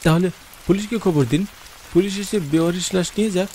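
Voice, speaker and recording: A man's voice: high-pitched, fairly quick, a little mellow. A man giving dramatic, scripted first-person narration of a horror story in a theatrical, earnest manner. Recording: clear rain noise behind the voice. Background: falling rain.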